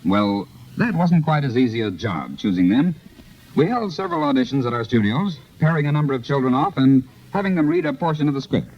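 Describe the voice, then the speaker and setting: A dull old 1930s radio recording of a man promoting a show. His medium-pitched voice is lively and varied, with formal, salesman-like, elaborate phrasing. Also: a little fast.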